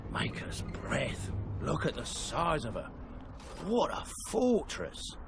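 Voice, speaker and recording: A man's voice: fairly high pitch, huge pitch swings, takes it slowly, vocal fry, exaggerated voice. An actor playing a wry male warrior companion who talks in a conversational way. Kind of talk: video game cut-scene dialogue. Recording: noisy game audio.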